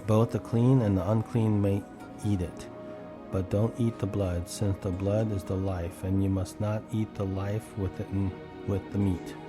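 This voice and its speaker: Low-voiced man reading scripture over music. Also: flat delivery, measured pace. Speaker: scripture reader. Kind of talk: Bible reading, scripted narration.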